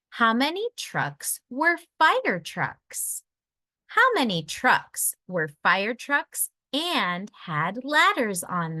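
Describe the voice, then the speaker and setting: A woman reading a children's picture book aloud in a deliberate, expressive storytelling manner for young listeners. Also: mid-range voice, no room sound.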